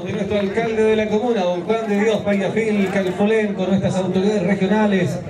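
A man's voice: high-pitched, little pitch movement, normal speed, reverberant, recorded from a distance, crowd noise. A Chilean man declaring a fair open over a public address system. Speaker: ceremony announcer.

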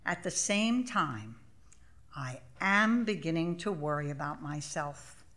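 A woman in her seventies reading her own essay aloud at a live event, measured and expressive with a wry delivery. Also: deep voice. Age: 70s. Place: small theater stage, live event. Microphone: stage microphone.

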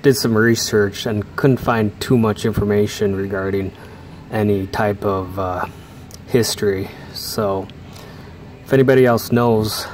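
Low, flat-voiced man touring a mall. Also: slight background noise. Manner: relaxed, unhurried commentary with pauses. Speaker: mall explorer vlogger. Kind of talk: walking tour narration. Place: large indoor mall, mostly empty.

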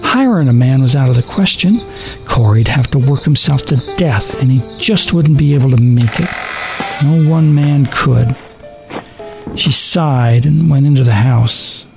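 Guy narrating radio drama, measured storyteller, medium pitch, music behind him. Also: gravelly. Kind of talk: scripted radio play narration.

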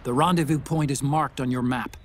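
An actor playing a nerdy engineer giving a technical mission briefing over a radio link in a video game. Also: male voice, medium pitch, fairly quick. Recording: game audio with steady noise.

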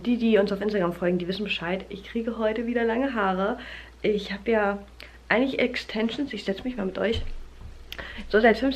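A woman's voice: mid-range voice, slightly rough. A woman in her thirties vlogs from her living room with a slightly stuffy, cold-thickened voice. She rambles casually with lots of fillers, talking to viewers like close friends. The phone camera sits close at arm's length. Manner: chatty, many fillers, addresses viewers warmly as friends. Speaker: family vlogger. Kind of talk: casual vlog chat to camera. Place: living room at home, indoors. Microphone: camera held close at arm's length.

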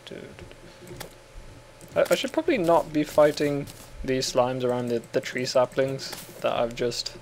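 A man's voice: medium pitch, a little slow, darkish tone, slight background noise. A man with an English accent chatting and joking while playing a game, often giggling and rambling. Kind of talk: casual gaming chat.

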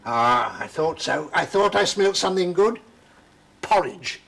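A man's voice: on the high side, expressive, unhurried, creaky, background noise. An older actor playing a kindly grandfather who patiently explains old times to children, in a children's TV drama. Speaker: grandfather who does magic. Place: kitchen set, indoors.

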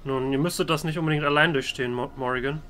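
A man role-playing his video game hero's dialogue as a let's player, with a hesitant, deliberate delivery. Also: fairly high pitch, fairly even intonation, fairly bright tone, slight background noise.

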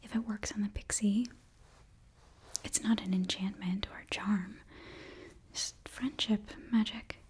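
A young woman playing an earnest, flustered witch librarian in a whispered, breathy ASMR roleplay, speaking haltingly close to the microphone. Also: medium pitch, steady tone, slight background noise, lightly acted. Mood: apologetic, earnest. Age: young adult. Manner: hushed, halting, fussy. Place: small indoor set, close to microphone.

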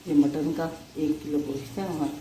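A woman's voice: low voice, steady tone, moderate pace, warm tone. Woman, middle-aged, home cook, plain step-by-step instructions, echoey kitchen, noisy background. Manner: plain, homely instructional talk. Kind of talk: step-by-step cooking tutorial. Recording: roomy, noisy home recording.

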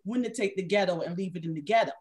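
A woman's voice: lowish voice, rapid-fire, a little mellow. A woman on a video call, talking firmly and repeating her point to drive it home. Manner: firm, makes her point, repeats phrases. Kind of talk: casual chat on a video call.

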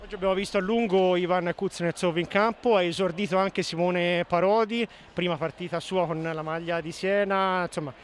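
A man's voice: sits high, fairly even intonation, normal speed, hardly any pauses, slight background noise. A man working as a sports reporter, asking long, rambling post-match questions that pile up statistics.

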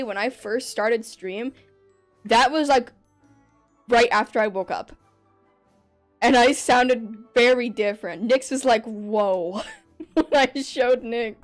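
A young adult streamer chatting casually over gameplay in their bedroom, rambling and laughing often. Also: mid-range voice. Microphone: desk microphone.

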